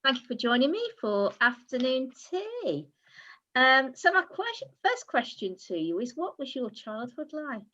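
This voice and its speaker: A woman's voice: sits high, big pitch swings, a little slow, pushed and bright. Woman interviewer on slightly dull audio. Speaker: interview host. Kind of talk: casual interview questioning.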